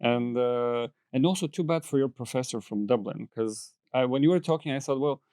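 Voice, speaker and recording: A man's voice: medium pitch, normal speed, mellow, clear, resonant tone, dry recording, clean studio sound. A man on a roundtable panel, joking and teasing in casual chat, often repeating his first words.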